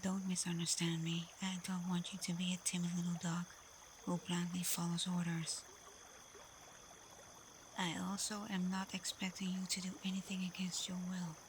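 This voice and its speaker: A woman playing a confident, protective, teasing female werewolf alpha in a soft, breathy, often whispered ASMR roleplay voice. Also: on the low side, level tone, normal speed, slight background noise, lightly acted. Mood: firm, reassuring. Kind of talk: slow ASMR roleplay addressed to the listener.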